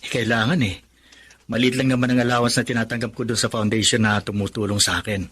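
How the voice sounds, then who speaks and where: An earnest young college man in a radio drama. His voice is mid-pitched and husky. He talks in a plain, conversational way. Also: little pitch movement, fast talker, a bit bright. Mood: easygoing, earnest. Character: hardworking blind male college student, earnest. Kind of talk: Filipino radio drama acting.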